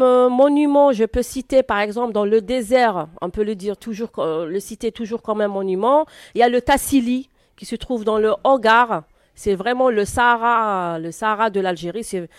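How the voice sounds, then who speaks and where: Hesitant woman, clear voice. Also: on the high side, dry recording, clean studio sound. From Algeria. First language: Arabic. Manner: hesitant, frequent filler pauses. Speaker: interview guest. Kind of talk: answering a class's questions.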